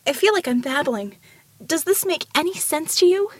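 Narrator as urgent, high-pitched woman detective. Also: animated, a little fast, no echo. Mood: anxious, questioning. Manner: babbling, pleading, insistent. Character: earnest, urgent female police detective.

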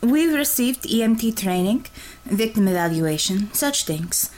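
A brash, mocking Russian commissar, as a woman reader puts her on, with a heavy put-on Russian accent. She talks in blunt, clipped phrases that drop their articles. Her pitch swings widely and sits a little higher than the narration, which keeps her lively. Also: unhurried. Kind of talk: audiobook character reading.

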